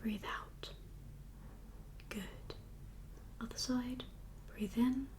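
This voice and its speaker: Woman whispering slowly in a gentle ASMR roleplay. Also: on the high side, fairly even intonation. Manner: gentle, unhurried, reassuring professional. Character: kindly doctor for undead patients. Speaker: ASMR performer playing a doctor.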